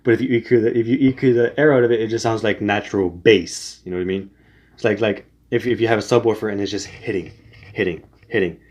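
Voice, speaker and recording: A man's voice: fairly low pitch, fairly even intonation, quick pace, darkish tone, a little hiss or hum. A young man giving casual singing tips, rambling with many restarts and fillers. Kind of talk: casual spoken tutorial.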